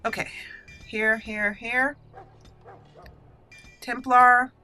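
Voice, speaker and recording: A woman's voice: a little high, little pitch movement, very slow, bright, forceful tone, faint music behind. A woman giving casual video game commentary as a let's play host, musing and talking through her choices. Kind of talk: casual gameplay commentary, thinking aloud.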